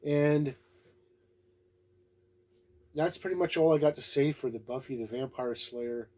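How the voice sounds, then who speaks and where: A man's voice: medium pitch, measured pace, mellow. A man giving a casual, unscripted review in a folksy, plain-spoken, laid-back way. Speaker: tabletop game book reviewer.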